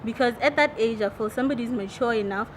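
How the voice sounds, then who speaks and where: Young woman from botswana, high voice, animated storytelling, faint noise. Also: quick pace, rough recording. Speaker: interviewee. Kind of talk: personal testimony.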